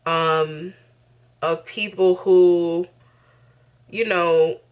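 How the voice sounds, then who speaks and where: Lower-voiced woman vlogger in her early thirties. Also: fairly even intonation, extremely slow, clean tone. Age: early 30s. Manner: conversational, emphatic, familiar with viewers. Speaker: vlogger, host of own channel. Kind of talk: casual get-ready-with-me chat to camera. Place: indoors in a bedroom.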